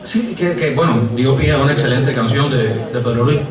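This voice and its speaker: A man's voice: mid-range voice, normal speed, a crowd in the background. A middle-aged Cuban man doing a wry, digressive stand-up monologue, full of hesitations, on an outdoor stage with a stand mic. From Cuba. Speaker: comedian and writer. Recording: echoey live outdoor sound from far off. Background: audience laughing.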